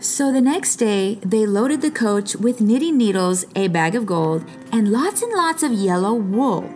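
A woman reading a children's story aloud expressively, with dramatic pauses and stretched words. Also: medium pitch, expressive, darkish tone, faint music behind. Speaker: storybook reader.

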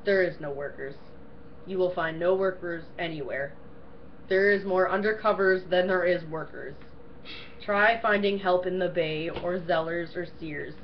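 A woman's voice: lowish voice, measured pace, lo-fi sound, slight background noise. A young woman co-hosting a casual rant, cutting in with blunt, sarcastic one-liners. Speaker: co-host. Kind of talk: casual ranting chat.

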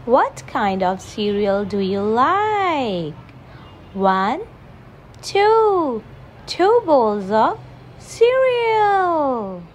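A woman reading a children's picture book aloud in a deliberate, sing-song way, counting and asking questions. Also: mid-range voice, slight background noise.

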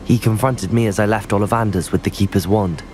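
A man's voice: on the low side, steady tone, fairly quick, darkish tone, slight background noise, close to an everyday voice. An actor playing a brave, earnest, polite young male wizard student in a video game. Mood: earnest, determined. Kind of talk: cinematic video game acting.

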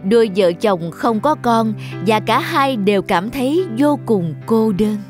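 High-voiced woman narrating a children's story. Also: expressive, measured pace. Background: jingly children's music.

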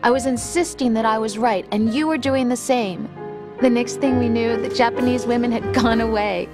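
A tender, nostalgic young woman voiced by an actress in a video game. Her voice is slightly high, and music plays clearly behind her. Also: fairly even intonation, normal speed, natural voice. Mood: wistful, affectionate. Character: affectionate young woman, soldier's nostalgic girlfriend. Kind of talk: video game voice acting, conversational.

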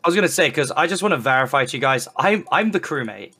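A man's voice: on the high side, animated, fast, bright, forceful tone, husky. A man chatting casually as an online game player, insistent and repeating his words for emphasis. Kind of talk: casual group game chat.

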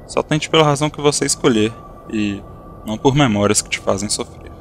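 An actor playing a calm, reflective spirit of a dead friend in an audio drama, speaking softly and unhurriedly. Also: male voice, mid-range voice, a little fast, slight background noise, natural voice. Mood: wistful. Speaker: spirit character.

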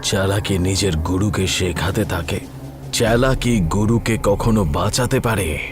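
A man playing a menacing, boastful dark sorcerer in a horror cartoon, with a gloating, theatrical delivery. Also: deep voice, measured pace, background noise. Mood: commanding, doubting. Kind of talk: animated horror cartoon dialogue. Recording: loud music under the voice.

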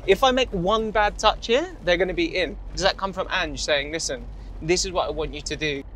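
A man's voice: high-pitched, a little fast, fairly bright tone, a little hiss or hum. A young man hosting a casual interview while driving, upbeat and teasing. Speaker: host. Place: inside a car, driving.

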